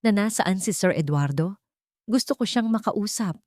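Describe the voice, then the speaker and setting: Woman acting a frightened, trembling female servant, pitched up. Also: lively intonation, normal speed, a little mellow, dry recording, clean studio sound, natural voice. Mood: shaken. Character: frightened, pleading woman servant, loyal and desperate.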